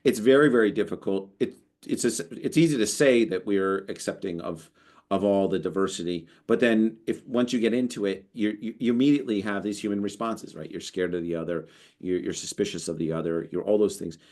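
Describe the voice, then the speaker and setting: American guy with a real low voice, chatty podcast co-host, keeps restarting mid-story. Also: fairly quick. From United States. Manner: animated, storytelling, lots of restarts. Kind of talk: casual conversation.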